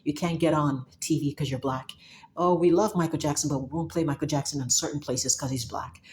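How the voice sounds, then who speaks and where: Young woman from cameroon going off on a rant to camera, low voice, lots of restarts. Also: a little fast. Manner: animated and emphatic, with frequent restarts and laughs. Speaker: software developer talking to camera. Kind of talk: casual monologue.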